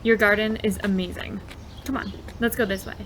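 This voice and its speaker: A woman's voice: mid-range voice, steady tone, fairly quick, a little hiss or hum, close to an everyday voice, rough recording. An actress playing a bubbly, persuasive, mischievous young woman in an audio drama. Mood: conspiratorial, excited. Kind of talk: naturalistic audio drama acting.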